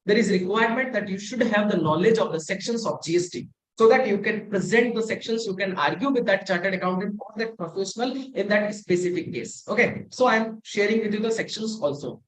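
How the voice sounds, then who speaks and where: A man's voice: high-pitched, a little fast. Indian-accented male tutor for a live webinar, repetitive and methodical, roomy echo on the recording. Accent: Indian English. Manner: explains step by step with many repetitions, mixing in Hindi. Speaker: webinar instructor. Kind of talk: live online tax lecture. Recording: echoey room sound.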